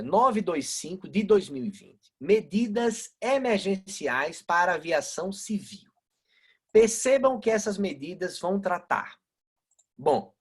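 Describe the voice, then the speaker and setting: Husky-voiced man lecturing, northeastern Brazilian accent. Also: fairly high pitch. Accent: northeastern Brazilian Portuguese, Aracaju. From Aracaju, northeastern Brazil. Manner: didactic, rhetorical questions and frequent check-in tags. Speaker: law professor, lecturer. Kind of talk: live online lecture explaining law with example cases. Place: online video-call lecture.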